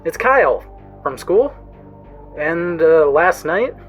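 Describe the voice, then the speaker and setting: A man's voice: fairly high pitch, sing-song, slow, faint music behind. A man playing an awkward, earnest, hesitant teenage boy in an audio drama. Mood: nervous, awkward. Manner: hesitant, apologetic. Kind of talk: first-person audio drama narration and dialogue.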